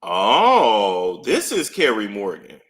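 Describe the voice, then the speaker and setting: Man, live-stream commentator, bright lively delivery, roomy echo, emphatic repeater. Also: fairly low pitch, slow pace. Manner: animated, emphatic, repeats phrases for effect.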